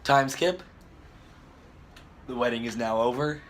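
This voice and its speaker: A man's voice: mid-range voice, a little slow, background noise. A young man who is losing his voice, chatting casually in a vlog with a dry, joking manner. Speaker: groom, co-vlogger.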